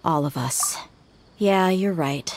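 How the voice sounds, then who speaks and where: Low-voiced young mercenary woman. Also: takes it slowly, darkish tone, a little hiss or hum. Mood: reflective. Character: tough young female mercenary, frank, brooding but warm-hearted. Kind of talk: English video game character acting.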